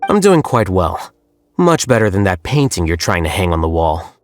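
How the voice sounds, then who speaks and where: An actor playing an aloof, blunt young scholar who speaks in a dry, matter-of-fact way in a game cutscene. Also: male voice, sits low, big pitch swings, normal speed, soft background music. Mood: cool.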